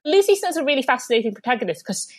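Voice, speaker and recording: A woman's voice: high voice, sing-song, rapid-fire. A woman from Malaysia, an author, casually recommending a book with many ums and restarts.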